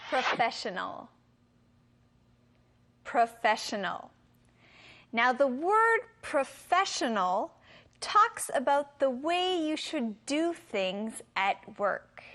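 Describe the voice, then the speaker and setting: Higher pitched lady hosting a lesson, slow and careful, explains stuff simply from a script. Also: sing-song, pushed and bright. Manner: careful enunciation. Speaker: co-host of an English lesson show.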